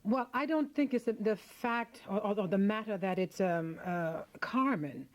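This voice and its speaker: A woman's voice: mid-range voice, normal speed. A middle-aged woman opera singer being interviewed on radio, speaking frankly and emphatically, often restarting her sentences as she thinks aloud.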